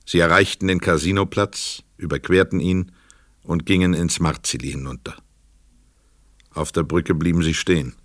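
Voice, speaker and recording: A man reading scripted literary narration for a radio play in a measured, precise storytelling manner. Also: deep voice, steady tone, fairly bright tone, no room sound, studio quality.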